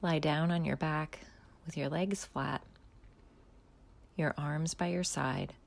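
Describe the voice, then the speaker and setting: A woman leads a guided body scan in a slow, soft voice that often drops to a near whisper. Her pitch sits low and carries a gravelly fry. Long pauses separate her gentle instructions. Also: fairly even intonation. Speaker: mindfulness guide.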